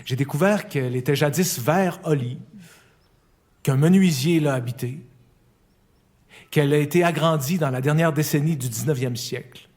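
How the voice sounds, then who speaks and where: Calm Quebec French man reading a letter. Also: a little high, moderate pace. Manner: calm, reflective, intimate. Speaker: letter writer. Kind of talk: reading a personal letter aloud. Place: recording studio. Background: faint music.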